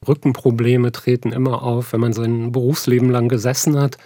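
A man in his fifties, a psychotherapist, reflecting in a measured, thoughtful way. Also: medium pitch, level tone, warm tone, dry recording, clean studio sound. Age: 50s. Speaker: psychotherapist, interviewee. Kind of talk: calm personal reflection.

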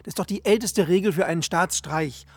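An actress playing a blunt, sceptical investigator in a radio thriller, brusque and challenging. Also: female voice, lowish voice, fairly quick, husky, no room sound. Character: blunt, sceptical, practical member of fugitive team.

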